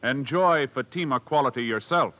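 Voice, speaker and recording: American announcer guy, old time radio, punchy bright voice, formal, dry muffled sound. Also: mid-range voice, normal speed, no room sound. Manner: formal, emphatic. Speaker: show announcer. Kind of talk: 1950s radio announcing and sponsor read.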